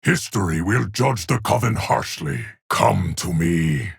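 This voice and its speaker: Game casting: an ageless, solemn keeper of time, low rumbling gravel, dry close sound, measured formal pronouncements. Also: male voice, expressive, no room sound, heavily acted. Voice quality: deep, gravelly, husky. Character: solemn ageless immortal male guardian of time. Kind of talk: video game character voice lines.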